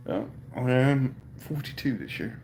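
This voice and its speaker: A man's voice: medium pitch, a little slow, darkish tone, a little hiss or hum. Joking British man in his forties. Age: 40s. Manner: chatty and joking, reads questions aloud and answers. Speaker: livestream host. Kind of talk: casual live chat reading viewer comments.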